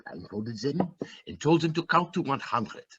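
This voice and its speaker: A man's voice: fairly high pitch, expressive, moderate pace, fairly bright tone, breathy edge, dry recording, highs cut off, full character voice. A man acting a bleak, callous woodcutter father from a fairy tale, in a dramatic, confessional stage-play style. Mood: grim, confessional.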